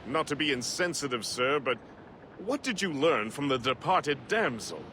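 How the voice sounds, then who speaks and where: A wry, loyal ship's first mate in a video game, formal and wordy. The male voice is fairly high and swings expressively in pitch, with noisy sea ambience around it. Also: very animated, moderate pace, sharp tone. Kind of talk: video game cutscene acting.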